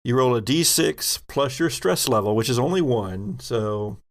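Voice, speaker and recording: Man, game master, slow pace, gravelly fry, improvised scene description, searching for words. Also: medium pitch, expressive. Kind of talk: improvised narration of a tabletop role-playing game.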